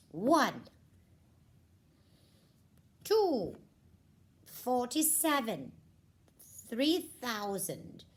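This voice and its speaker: A woman's voice: on the high side, slight background noise, voice pushed up, full character voice. A woman voicing a bossy, scatterbrained queen mother puppet, fussy and regal in manner, for a children's puppet show. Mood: pompous. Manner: regal fussing, emphatic, self-assured.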